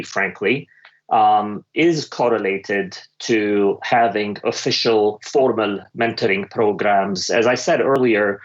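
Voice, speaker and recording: A man from the Middle East speaking as an executive panellist, giving measured answers and often pausing to search for words. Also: lowish voice. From Middle East (Lebanon, Kuwait, Dubai). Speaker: panellist, senior finance executive. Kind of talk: panel discussion, considered answers. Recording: dull, muffled lo-fi audio.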